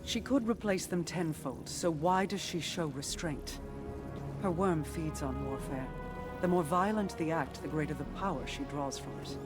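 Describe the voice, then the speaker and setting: Solemn alien commander for a game actress, deliberate formal speech, score underneath. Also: female voice, low voice, loud music behind the voice, close to an everyday voice. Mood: grave. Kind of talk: video game voice acting.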